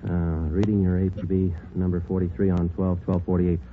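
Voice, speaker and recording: A man's voice: low voice, little pitch movement, moderate pace, a little hiss or hum, natural voice. An actor playing a terse, businesslike American police sergeant in a 1940s radio crime drama, narrating his case in a clipped, matter-of-fact way. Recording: muffled vintage 1940s radio recording, music behind.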